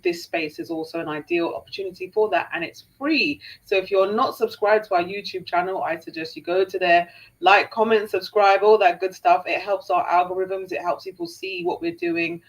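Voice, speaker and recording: Upbeat woman from Jamaica hosting a live Q&A, mid-pitched, reading viewer questions briskly. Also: low-quality recording. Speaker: co-host.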